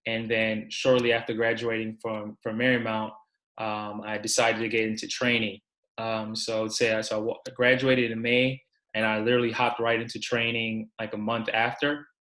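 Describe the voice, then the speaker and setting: A young man from Maryland, a basketball coach answering interview questions over a video call, relaxed with many ums. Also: on the low side, normal speed, poor audio.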